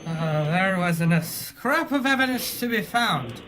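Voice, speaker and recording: A woman's voice: fairly low pitch, expressive, a little slow, over music. A woman playing a hard-drinking, melodramatic police officer in a parody pulp audio drama. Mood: matter-of-fact.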